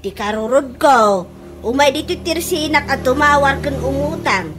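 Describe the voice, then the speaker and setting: An actress playing a fed-up, scornful gossiping neighbour in a comedy radio drama, with an Ilocano accent. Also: female voice, high voice, normal speed, a bit bright, soft background music.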